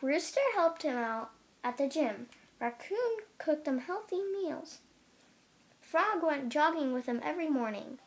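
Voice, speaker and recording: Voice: sits high, lively intonation, a little slow. A young reader reading a children's picture book aloud, carefully, with the occasional stumble. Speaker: storybook reader.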